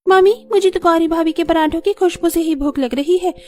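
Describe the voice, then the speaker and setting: A woman's voice: extremely high pitch, fairly quick, a little mellow. A woman voicing a playful young sister-in-law in a cartoon, cheerfully teasing.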